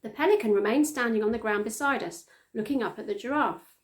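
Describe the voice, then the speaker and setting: A middle-aged woman reading a children's story aloud with expressive storytelling delivery. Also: fairly high pitch, quick pace. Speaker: storytime reader. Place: small plain room indoors, on a sofa.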